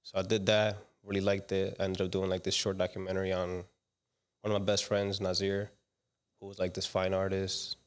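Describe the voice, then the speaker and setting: A young man from the Dominican Republic, a guest director talking casually about his path, relaxed and slangy with lots of fillers. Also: sits low. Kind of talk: casual interview talk.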